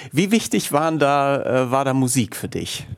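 A man's voice: mid-range voice, big pitch swings, moderate pace. A man interviewing in a casual, conversational way, thinking aloud and rephrasing as he goes.

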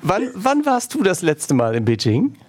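Higher-voiced man hosting a conversational interview. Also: wildly swooping pitch, normal speed. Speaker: interview host.

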